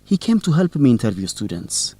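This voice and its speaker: A man's voice: mid-range voice, sing-song, a little fast, warm tone, slightly rough, no room sound. An actor playing a young male student newsletter reporter in a radio drama for English learners, earnest, hesitant and careful in his speech. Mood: worried, apologetic. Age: young adult.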